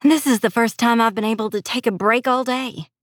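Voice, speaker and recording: A young female nurse from an audiobook, played by a woman narrator, caring and steely under tiredness. Her pitch lifts above a low narrating register, and the sound is dry and close. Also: fairly even intonation, fairly quick, dry recording, natural voice. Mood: tired, upbeat.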